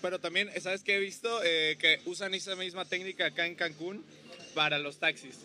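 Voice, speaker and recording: A man with a Mexican accent, raised in Veracruz, hosts a relaxed comedy podcast interview. His voice sits a bit high with a very bright tone, and he keeps the chat going with short, easygoing replies. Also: moderate pace. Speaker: podcast host, stand-up comedian.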